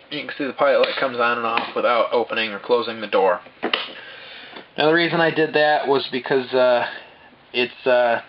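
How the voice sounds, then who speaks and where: A man's voice: medium pitch, dull audio, a little hiss or hum. Man explaining wiring on a camcorder. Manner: explains step by step, plain and practical. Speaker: bus owner showing his build. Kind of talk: casual show-and-tell walkthrough. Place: inside a parked bus at dusk. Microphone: handheld camera mic, filming himself off screen.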